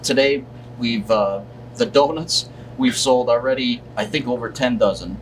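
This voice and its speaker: Man in his thirties, distant, over loud hum. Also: mid-range voice, moderate pace. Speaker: deli owner being interviewed. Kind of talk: casual interview answers. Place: indoors in a deli, seated at table. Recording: distant mic, loud hum of air conditioning.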